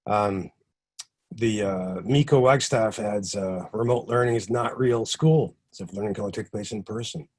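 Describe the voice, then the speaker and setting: Low husky man hosting an online workshop. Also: a little mellow. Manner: halting, many ums and uhs. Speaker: session host. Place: video call.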